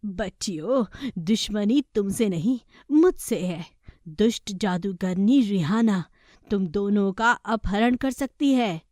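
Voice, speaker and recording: A woman voicing a gentle, protective fairy queen mother in a children's cartoon story, cautioning in a motherly way. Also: mid-range voice, lively intonation, takes it slowly, no room sound, clean studio sound. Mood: grave warning.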